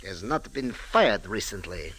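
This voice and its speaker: An actor playing a sour, officious Cairo police sergeant in old radio drama, speaking in a stiff, formal way. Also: male voice, fairly low pitch, sing-song, a little slow, fairly bright tone, a little hiss or hum. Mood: coldly triumphant. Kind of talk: 1940s-50s radio drama acting. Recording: vintage radio recording.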